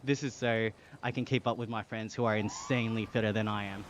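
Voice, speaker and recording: A man's voice: lowish voice, fairly even intonation, a little fast, noisy background. A man with an Australian accent chatting casually as a live streamer, slangy, reading chat aloud and thanking viewers. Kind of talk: casual livestream chat over gaming.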